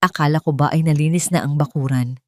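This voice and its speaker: A woman narrates a dramatic story in the first person. Her voice sits low, and the recording is close and dry, with a scripted storytelling delivery. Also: steady tone, fast talker, no room sound.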